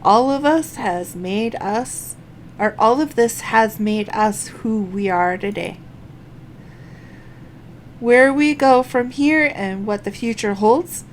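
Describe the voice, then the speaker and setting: A woman live-streaming a game, reading screen text aloud and thinking out loud in a halting way. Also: medium pitch. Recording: faint background noise.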